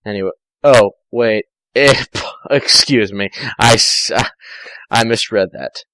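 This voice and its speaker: A man's voice: on the low side, slow pace, fairly bright tone, no room sound, poor audio. A man reading amateur fan fiction aloud, breaking in with dry, sarcastic asides.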